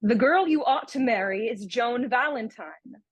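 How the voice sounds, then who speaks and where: A prim, pert young heiress in a radio comedy, teasing and easily miffed. Her voice is high with a husky edge and very animated swings. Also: measured pace, no room sound, muffled recording. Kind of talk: radio play acting.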